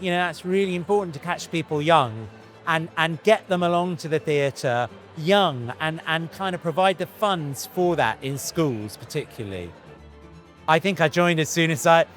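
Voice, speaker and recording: A man in his fifties talks through interview answers in a thoughtful, earnest manner, often circling back and restarting his sentences. His voice sits a little high for a man. Soft music plays faintly behind him. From Iran, raised in Britain. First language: Farsi. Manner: thoughtful, earnest, with frequent restarts and "you know". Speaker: actor.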